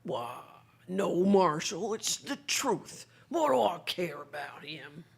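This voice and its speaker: Lady doing a raspy excitable saloon keeper, country american, voice swings around a lot. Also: on the low side, slow pace, full character voice. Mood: insistent. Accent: rural American. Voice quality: gravelly, husky. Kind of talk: old-time radio western acting.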